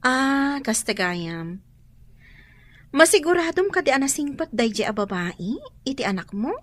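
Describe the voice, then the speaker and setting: A woman's voice: high-pitched, very animated, a little slow, no echo, full character voice. A woman playing a kind, deferential secretary in a radio drama. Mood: concerned. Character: kind, deferential female secretary, attentive to her boss.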